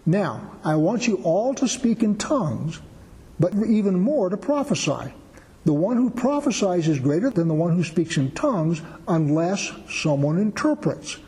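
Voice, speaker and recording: A man's voice: a little high, dark tone. Man teaching scripture in a reverberant hall. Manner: conversational, explains with everyday examples. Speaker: Bible teacher. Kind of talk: verse-by-verse Bible teaching.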